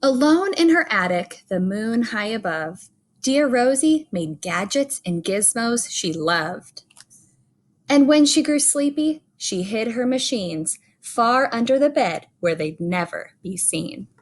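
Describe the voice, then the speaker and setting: Lady reading a kids bedtime story, highish voice, really slow and expressive, pauses for effect. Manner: deliberate phrasing with dramatic pauses and emphasis. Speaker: storyteller reading a children's picture book aloud.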